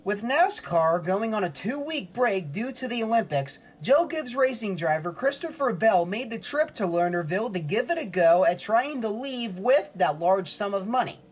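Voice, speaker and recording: A man's voice: high-pitched, expressive, moderate pace, few pauses, a little hiss or hum. A man working as a sportscaster, delivering play-by-play and scripted reporting.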